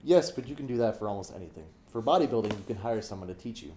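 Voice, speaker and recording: A man's voice: fairly low pitch, expressive, very fast, dark tone, slight background noise, lightly acted. A young man acting in a student skit as an eager friend coaxing his buddy to work out.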